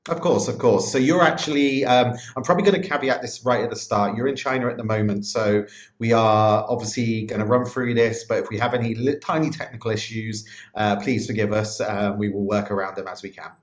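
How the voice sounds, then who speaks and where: A man's voice: fairly low pitch, fairly even intonation, a little fast. A man hosting an interview podcast, chatty and casual, often hedging and using fillers. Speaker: podcast host. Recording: echoey dull-sounding room.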